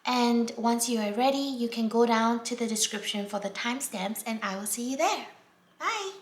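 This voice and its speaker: A woman from Singapore, doing a casual tarot reading in a gentle, unhurried way with many fillers, dropping to a near whisper as she draws cards. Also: fairly high pitch. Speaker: tarot reader. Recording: echoey room, faint background noise.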